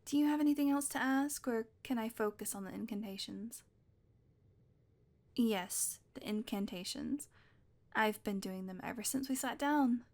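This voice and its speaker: A woman voicing a sweet, possessive witch in a scripted roleplay, talking softly and teasingly and often dropping to a whisper. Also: fairly high pitch, normal speed. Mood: doting. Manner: soft, doting, teasing, drifts into whispers. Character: sweet possessive female witch, playfully menacing. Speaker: audio roleplay performer.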